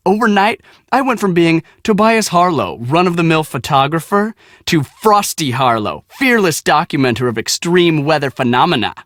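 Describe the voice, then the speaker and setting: A cocky, high-voiced young American photographer. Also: male voice, lively intonation, normal speed, fairly bright tone, no room sound, studio quality. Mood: boastful. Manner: slangy, boastful, dramatic. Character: cocky, excitable young storm-chasing photographer, squeamish about mice. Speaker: video game character, storm team media guy. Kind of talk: animated casual game dialogue.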